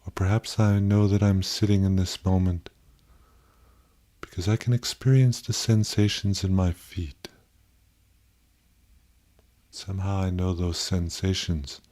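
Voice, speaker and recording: A man leading a guided meditation in a gentle, contemplative way, with pauses and softly posed questions. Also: low-pitched, fairly even intonation.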